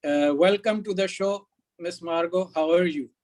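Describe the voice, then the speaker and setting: A man's voice: high-pitched, moderate pace, darkish tone. Formal male talk show host. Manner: formal, ceremonious phrasing. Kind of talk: formal interview hosting.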